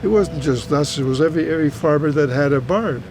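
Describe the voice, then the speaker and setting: Elderly Hungarian-born man, higher-pitched, reminiscing. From Hungary, German-speaking household. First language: German. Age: 80s. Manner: halting, repetitive, plain-spoken. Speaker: retired teacher recounting his life. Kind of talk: personal oral history interview, reminiscing. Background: music under the voice.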